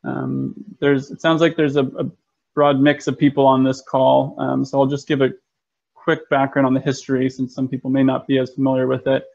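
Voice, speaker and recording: An American man, an energy consultant, giving a webinar presentation in a measured way with frequent ums and restarts. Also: mid-range voice, monotone, gravelly, a little mellow. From United States. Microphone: video call. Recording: muffled lo-fi web call audio.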